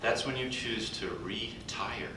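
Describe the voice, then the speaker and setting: An older man speaking at a podium in a large conference hall, introducing a colleague in a folksy, halting way with pauses. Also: fairly even intonation. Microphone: podium mic, recorded from afar by a computer. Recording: distant echoey hall recording with background rumble.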